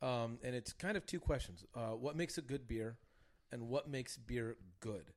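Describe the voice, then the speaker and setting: A man's voice: mid-range voice, unhurried. A man hosting a casual beer podcast, chatty and loose, with plenty of fillers. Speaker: podcast host.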